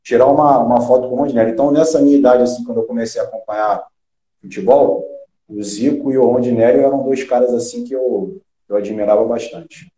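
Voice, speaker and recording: A middle-aged man from Rio de Janeiro with a Carioca accent, a former footballer chatting as an interview guest, halting with many restarts and fillers. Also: medium pitch, steady tone, warm tone. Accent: Carioca Brazilian Portuguese. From Rio de Janeiro, Brazil. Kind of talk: casual interview chat. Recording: echoey room.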